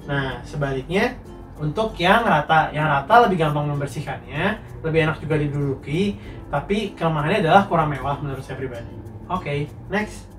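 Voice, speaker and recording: A young man with a congested, nasal voice from a cold, casually answering viewers' questions about building materials as a contractor. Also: fairly high pitch. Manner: slangy, explanatory, reads out viewer questions. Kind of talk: casual Q&A monologue. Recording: roomy, mic at a distance, faint music.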